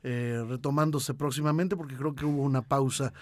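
A man interviewing someone conversationally, phrasing his questions in a halting, roundabout way. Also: medium pitch, steady tone, dry recording.

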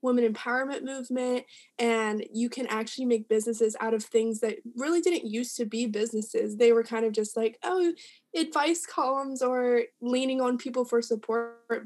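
A woman's voice: on the high side, moderate pace, a little mellow. A woman co-hosting a podcast in casual chat, responding in an affirming, loosely worded way. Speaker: podcast co-host.

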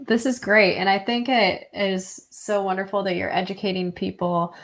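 A woman hosting a podcast interview, speaking in an encouraging, steady way. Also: medium pitch, moderate pace. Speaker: podcast host.

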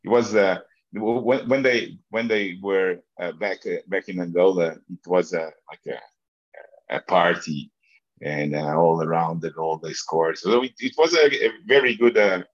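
Deep-voiced Portuguese man in an echoey room. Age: middle-aged. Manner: halting, repeats words while searching for phrasing. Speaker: interview guest, football business executive. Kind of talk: conversational interview answers, personal recollection. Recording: echoey room, dull lo-fi sound.

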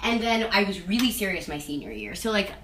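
A woman's voice: medium pitch, expressive, brisk, background noise. A young adult woman chatting casually in an interview, rambling and filling her talk with "like" and "um.". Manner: rambling, heavy use of "like" and "um". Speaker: interviewee, singer and actress. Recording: echoey room, mic at a distance, faint ambience.

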